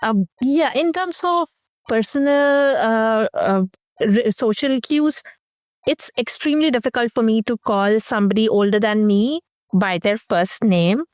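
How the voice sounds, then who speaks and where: A woman's voice: a little high, measured pace, a little mellow, no echo. A woman from India with an Indian accent, a communication professor speaking as a guest in a casual interview, thoughtful and hesitant, with frequent fillers.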